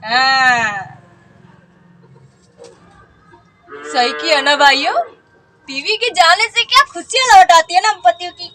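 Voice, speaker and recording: A sly husband coos false endearments while plotting to see his wife leave, voiced by a woman. The voice stays high and bright, with a lilt. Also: a little slow, soft background music, full character voice. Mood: gloating.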